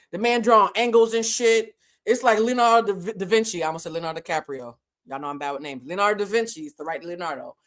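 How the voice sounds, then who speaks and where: A woman whose first language is French tells a casual story to her viewers. She is animated and profane, and she talks straight to her audience. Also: medium pitch. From Boston. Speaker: channel host.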